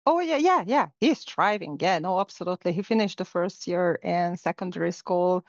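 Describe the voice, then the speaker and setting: A woman's voice: fairly low pitch, animated, normal speed, dry recording. Hungarian woman reflecting, dry lo-fi sound. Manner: reflective, with frequent fillers and restarts. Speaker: guest. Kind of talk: casual interview conversation. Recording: dull, lo-fi sound.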